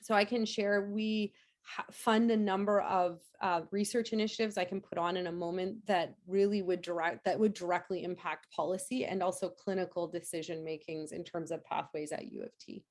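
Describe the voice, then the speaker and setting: A woman professor answering audience questions after a lecture, hoarse from a cold, with lots of fillers and restarts. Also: on the low side, steady tone, normal speed. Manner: hesitant, many fillers and restarts. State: hoarse, getting over a cold. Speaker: nursing professor giving a lecture.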